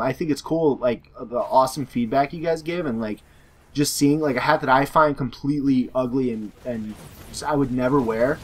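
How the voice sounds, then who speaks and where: A young man with a hoarse, sore-throated voice talks through viewer questions in a relaxed, rambling way. He leans on "uh" and "like," restarts his sentences and drifts from point to point. His pitch and pace stay in an ordinary middle range. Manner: rambling, filler-heavy, self-correcting. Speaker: gaming YouTuber. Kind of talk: casual Q&A reading out viewer questions.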